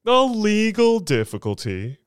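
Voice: mid-range voice, huge pitch swings, unhurried, clean tone, dry recording, studio quality, pitched above the performer's own voice. A narrator voicing an evasive, falsely serene man in a storybook, murmuring placations and stammering. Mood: smooth, placating. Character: evasive, falsely serene male swindler, easily flustered.

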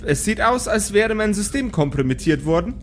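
A man's voice: high-pitched, lively intonation, normal speed, a little hiss or hum, pitched above the performer's own voice. A man playing a spaceship's computer, formal and hesitant with a teasing streak. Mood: concerned, formal. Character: spaceship computer, polite but snarky and teasing.

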